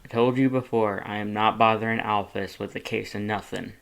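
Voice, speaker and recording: A man voices a tired skeleton big brother who keeps his voice low and mumbled. He dodges the questions and brushes them off. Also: steady tone, brisk, vocal fry, a bit bright, clean tone, low-quality recording. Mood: defensive. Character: weary, evasive skeleton older brother.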